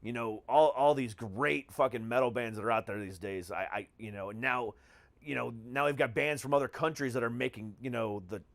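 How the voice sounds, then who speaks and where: A man's voice: mid-range voice, normal speed, creaky, dry recording. A man hosting a podcast in casual conversation, talking in an emphatic, slangy way with frequent swearing. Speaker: podcast host. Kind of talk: casual chat.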